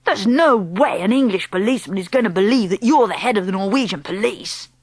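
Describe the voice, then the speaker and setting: A woman voicing a young boy who has been turned into a mouse, eager and anxious, pleading and excitable. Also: medium pitch, fairly quick, no room sound.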